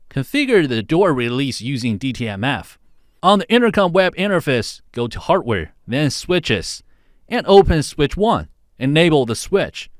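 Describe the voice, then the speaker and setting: Man narrating a tutorial, lively intonation, dry sound. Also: medium pitch, no room sound. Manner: clear step-by-step instructing. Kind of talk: scripted instructional narration.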